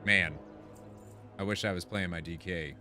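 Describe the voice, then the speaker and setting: A man streaming a video game, chatting in an informal, slangy, expressive way and reading quest text aloud. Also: deep voice, normal speed. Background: game music.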